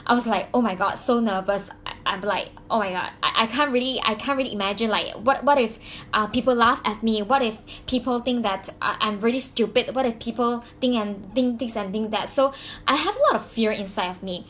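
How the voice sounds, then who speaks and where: A woman's voice: fairly high pitch, a little fast, fairly bright tone, a little hiss or hum. A woman from Malaysia with a Malaysian accent, whose first language is Mandarin, telling her own story to camera in a chatty way with many fillers and restarts. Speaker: vlogger and coach.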